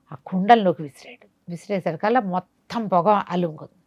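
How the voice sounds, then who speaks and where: A woman's voice: on the low side, no echo, clean studio sound. A woman storyteller telling a bedtime tale in a conversational way, with explanatory asides. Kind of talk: animated oral bedtime storytelling.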